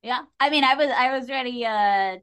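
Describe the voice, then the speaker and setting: Female guest, higher-pitched voice, casual and opinionated conversational delivery. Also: moderate pace, fairly bright tone, clear, resonant tone. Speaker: podcast guest.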